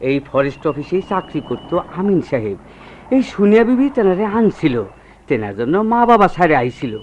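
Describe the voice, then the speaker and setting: A man's voice: a little high, big pitch swings, a little fast. A man acting a deferential, sympathetic rustic servant with a folksy regional Bengali dialect in a radio drama. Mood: sorrowful sympathy. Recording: dull, noisy lo-fi radio recording.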